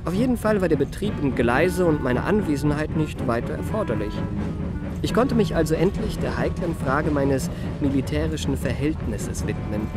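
A man's voice: on the high side, natural voice. An actor playing an urbane male memoirist, narrating a radio play in an elegant, polished and formal manner. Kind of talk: scripted first-person radio play narration.

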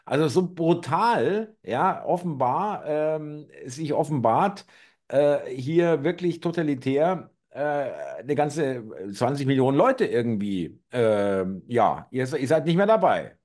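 A man's voice: a little high, expressive, takes it slowly, a little mellow. Hesitant middle-aged man on a video call. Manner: hesitant, many fillers, agreeing murmurs. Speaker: talk show co-host. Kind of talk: casual conversation. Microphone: video call webcam.

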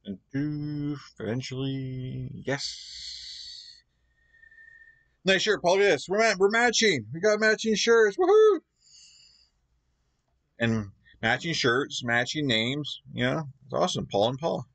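A man's voice: fairly high pitch, big pitch swings, slow pace, a bit bright. A man in his forties from Canada, reacting enthusiastically at home on a desk mic. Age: 40s. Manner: enthusiastic, exclamatory. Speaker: reaction video host. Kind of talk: casual commentary. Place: home room, indoors.

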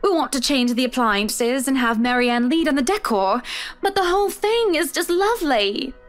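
Guy putting on a high sing-songy sweet lady, fussy, lots of "dear", music behind. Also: lively intonation, normal speed, a bit bright. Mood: sugary. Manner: fussy, sugary, says "dear". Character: gushing young woman, sweet and pushy.

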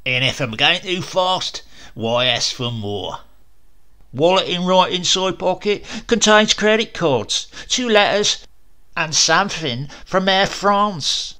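A man doing a brisk, clipped official. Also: high voice, sharp tone, pitched above the performer's own voice, exaggerated voice. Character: brisk man listing a captive's belongings.

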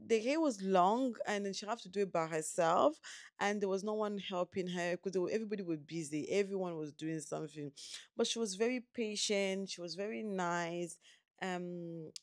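A woman vlogger chatting casually about her day, repeating words and quoting people in quick bursts. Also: on the low side, no room sound, studio quality. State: tired after no sleep. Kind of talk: casual vlog recap.

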